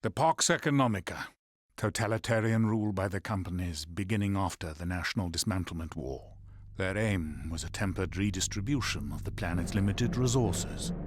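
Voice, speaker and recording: A man's voice: deep voice, lightly acted. A man playing a grave, world-weary mercenary who narrates a war story in a video game with solemn disdain. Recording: game music loud behind the voice.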